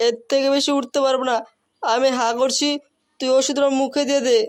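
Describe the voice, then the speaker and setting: A man's voice: extremely high pitch, level tone, fairly quick. A man voicing a sick, whining young fellow with a bellyache in a comic cartoon. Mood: miserable, whining. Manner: complaining, whiny. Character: sick whining young male friend with stomach cramps.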